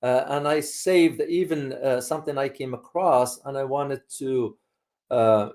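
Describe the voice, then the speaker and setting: Male host, from Morocco, casual live discussion style, halting delivery with repeated words, middling pitch. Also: steady tone, a little mellow.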